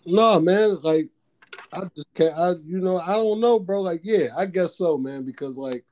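A man with a deep voice, a rapper guest in casual interview chat, slangy and swearing freely. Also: normal speed, darkish tone.

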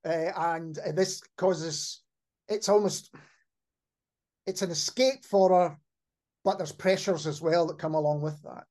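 A man's voice: fairly high pitch, steady tone, unhurried, pauses often, darkish tone. A middle-aged man hosting a conversational podcast interview, reflecting on answers and putting questions to a guest.

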